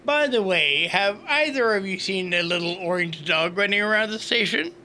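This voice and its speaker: An actor playing a wry, indifferent, hard-drinking space station officer with a dry, sarcastic manner. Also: male voice, high-pitched, animated, moderate pace, bright, forceful tone, a little hiss or hum, exaggerated voice.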